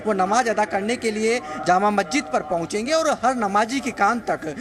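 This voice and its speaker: A man reporting live for the news from the street, speaking in a halting, repetitive way with frequent hesitations. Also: high-pitched, background noise. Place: busy street market, outdoors.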